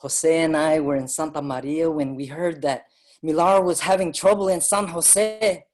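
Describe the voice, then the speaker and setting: An actor playing an earnest Filipino migrant writer and activist, narrating dramatically in a staged reading. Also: male voice, on the high side, steady tone, moderate pace, darkish tone, lightly acted. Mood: reflective, grave.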